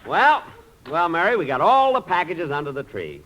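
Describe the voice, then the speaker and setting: A fussy, vain American miser in an old radio comedy. His delivery is put-upon and hesitant, swinging up and down in a bright voice. Faint noise covers the vintage recording. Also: a little high, wildly swooping pitch, normal speed, lo-fi sound. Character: fussy, miserly, vain middle-aged man, easily exasperated. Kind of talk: 1940s radio comedy acting.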